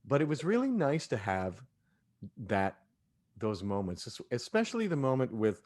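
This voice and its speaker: A man speaking as an audio drama director in a casual cast discussion, telling things in an animated way. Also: mid-range voice, normal speed.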